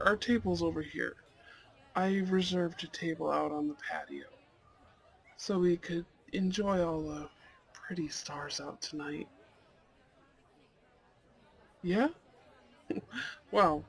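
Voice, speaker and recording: Shy, courteous goth boyfriend type played by a man, creaky and unhurried, romantic audio roleplay with faint chatter behind. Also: fairly high pitch, full character voice. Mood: gentle, pleased. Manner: hesitant, soft-hearted, nervous little laughs. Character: shy, polite, dorky young goth man on a blind date.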